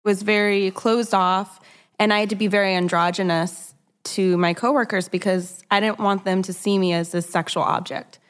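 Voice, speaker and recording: A woman's voice: medium pitch, steady tone, moderate pace, clean tone. A woman guest chatting casually in an interview, laughing easily.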